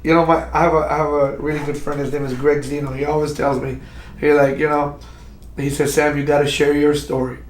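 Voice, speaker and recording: A man's voice: fairly high pitch, flat delivery, fairly quick, a little hiss or hum. A man from Pakistan hosting a casual interview podcast, often repeating words and restarting his sentences. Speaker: podcast host. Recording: echoey room.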